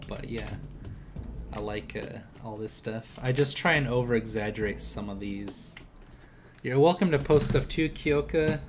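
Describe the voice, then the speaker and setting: A young man giving a casual, encouraging live art critique in an informal way as a streamer. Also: mid-range voice. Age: young adult. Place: indoor home desk setup. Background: music behind the voice.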